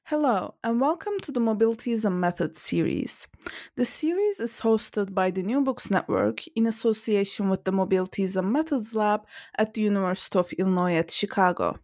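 A woman hosting a book interview podcast who introduces her guest and asks questions in a polite, measured, scripted way. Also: mid-range voice, a little mellow, no room sound, studio quality. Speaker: podcast host.

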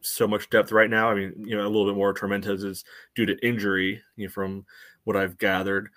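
A man co-hosting a soccer podcast, giving casual analysis in a measured, halting way full of verbal padding. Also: on the low side, little pitch movement, a bit bright. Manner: hesitant, measured, frequent "you know" hedging.

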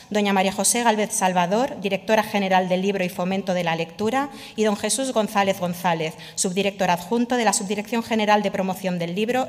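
A woman gives a formal, ceremonial reading of an official document. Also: mid-range voice. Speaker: official reading the award jury's minutes. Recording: long echoing reverb of large hall.

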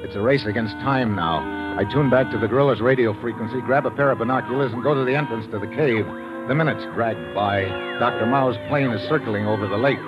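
An actor playing a tough, wisecracking American secret agent in a vintage radio adventure, clipped and matter-of-fact. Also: male voice, mid-range voice, fairly quick, natural voice. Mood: tense narration. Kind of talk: 1950s radio adventure acting with first-person narration. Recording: dull old radio recording, music behind.

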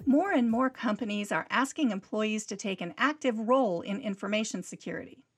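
A woman's voice: mid-range voice, brisk, soft background music. A woman presenting a scripted radio business segment with a polished delivery.

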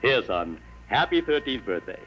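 An actor playing a hearty, teasing father in an old-time radio drama, brisk and folksy. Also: mid-range voice, sing-song, a bit bright, soft background music. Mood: jovial, affectionate.

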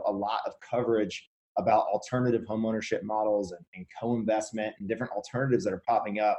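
A man's voice: fairly low pitch, darkish tone, low-quality recording. A man hosting a podcast interview, upbeat and eager, chatting casually with frequent fillers and restarts. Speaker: podcast host.

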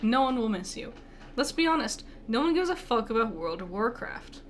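A woman's voice: a little high, big pitch swings, moderate pace. A young woman co-hosting a casual podcast, swearing freely and laughing often. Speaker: podcast co-host. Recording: faint background noise.

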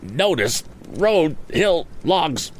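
A man putting on a sneering, impudent teenage bully with a whining edge, pitched above the reader's own deep voice. The lines swing in pitch, and faint noise runs underneath. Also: expressive, a little fast, fairly bright tone. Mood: flustered. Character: sneering red-haired teenage boy bully.

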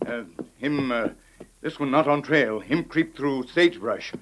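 A man acts as a loyal, stoic scout sidekick in an old radio western. The voice is mid-pitched, with stilted broken English in short, clipped phrases. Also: a little slow, noisy background, natural voice. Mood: earnest, stoic.

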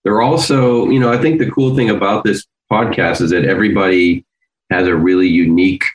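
A man's voice: low voice, steady tone, fairly quick, muffled recording. A man who writes and performs for a podcast, chatting casually and with a joking, teasing manner.

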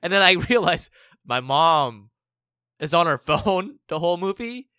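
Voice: mid-range voice, animated, unhurried, bright, forceful tone, clear, resonant tone, no room sound, clean studio sound. A podcast co-host in casual chat, asking teasing questions.

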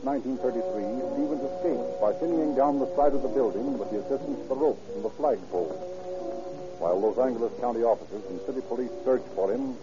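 Music plays behind a dull, lo-fi vintage radio recording. An actor as a male American narrator tells a crime case in formal, dramatic phrasing at a medium pitch. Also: lightly acted. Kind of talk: old-time radio scripted narration. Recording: muffled old radio recording.

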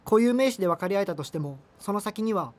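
An actor playing an awkward, apologetic young subculture nerd in a radio drama, with a hesitant, earnest, wordy way of talking. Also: male voice, sits high, animated, fast. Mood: confessional. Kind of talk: naturalistic radio drama dialogue and monologue.

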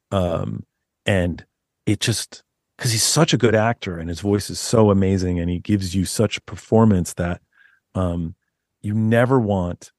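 A man with a deep voice, a guest author talking in a loose, rambling way with many restarts. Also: darkish tone, dry recording, studio quality. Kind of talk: casual interview chat.

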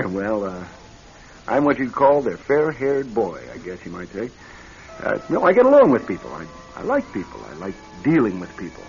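A dull, band-limited old radio drama recording carries a nervous young executive. He is a lowish-voiced man who talks earnestly and haltingly, with lively swings of pitch. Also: soft background music. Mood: self-assured. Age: young adult. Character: nervous young married executive troubled by nightmares.

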